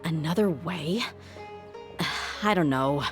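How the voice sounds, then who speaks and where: Game heroine: young hired sword, low register, proud, blunt, guarded and frustrated, music bed behind. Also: female voice, slow. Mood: bitter, frustrated. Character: blunt, proud young female mercenary, bitter toward her father. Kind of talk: video game voice acting, dramatic dialogue. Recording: background music under the voice.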